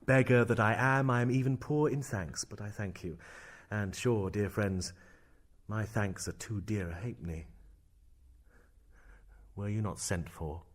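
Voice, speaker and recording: An actor playing a brooding, sardonic young prince who feigns madness, in theatrical and measured Shakespearean delivery with hushed asides. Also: male voice, fairly low pitch. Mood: wry, probing. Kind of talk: Shakespearean stage acting in verse and prose.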